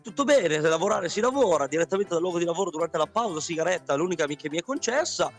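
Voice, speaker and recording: A man's voice: high-pitched, expressive, fast, soft background music. A man, a radio show guest in casual comic chat.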